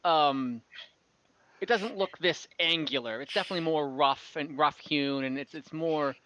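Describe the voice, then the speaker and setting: Male game master, forceful and slightly high, improvising fantasy scenes in a halting, rambling way; dry, slightly muffled recording. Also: dry recording. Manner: halting, rambling, self-correcting. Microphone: online voice call, close microphone.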